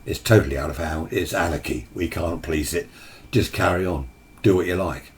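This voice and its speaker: Deep-voiced British man explaining things informally. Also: brisk. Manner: opinionated, conversational, mimics imagined replies. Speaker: amateur radio video presenter.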